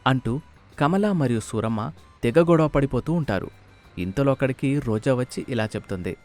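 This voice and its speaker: A man's voice: medium pitch, lively intonation, normal speed, a little mellow. A male narrator reads a scripted moral tale in a mid-range voice. He takes the storyteller's part, with faint music underneath.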